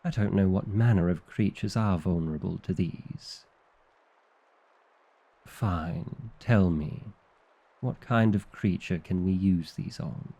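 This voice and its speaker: A man's voice: low voice, takes it slowly, mellow, exaggerated voice. A man playing a fussy, exasperated vampire librarian in an ASMR roleplay, with an indignant, officious and dryly comic delivery. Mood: weary, grudging.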